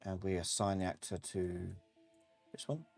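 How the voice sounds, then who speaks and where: A man giving a live software tutorial as an instructor, informally thinking aloud and talking to himself as he works. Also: low-pitched, measured pace, vocal fry, soft background music. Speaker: instructor of a live online class. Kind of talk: live software tutorial, explaining while working.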